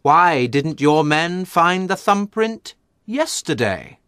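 Shrewd gentleman detective, courteous and ironic, far-swinging intonation, slow deliberate speech, for an audiobook. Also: fairly high pitch, big pitch swings, clear, resonant tone, dry recording, studio quality, pitched above the performer's own voice, heavily acted. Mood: politely probing. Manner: thoughtful, deliberate. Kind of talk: dramatised audiobook storytelling.

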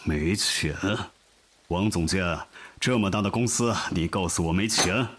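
An actor playing a domineering, demanding general manager in an audio drama, with a blunt, overbearing delivery. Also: male voice, low-pitched, measured pace, fairly bright tone. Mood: demanding, irritated. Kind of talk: Mandarin audio drama acting.

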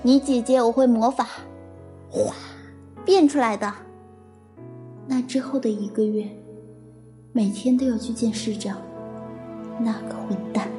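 An actress plays a young older sister who carries her burden for the family in an audio drama. Her voice is high and rather mellow, with faint music behind it. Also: fairly even intonation, measured pace, pauses often, close to an everyday voice. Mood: teasing, then sorrowful. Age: young adult. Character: anguished, self-sacrificing young older sister. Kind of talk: Chinese audio drama acting.

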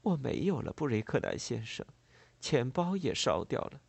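A man voicing a composed, proud, tender gentlewoman in a storybook, speaking in a measured, earnest and formal way. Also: medium pitch, sing-song, creaky, breathy edge, no echo, full character voice. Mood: composed, impatient.

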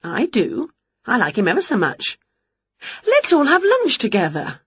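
A woman voicing an excitable, dramatic witch who is easily put out, in a children's storybook. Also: high voice, measured pace, husky, no echo, muffled recording, pitched above the performer's own voice, full character voice. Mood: delighted. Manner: exclamatory, dramatic.

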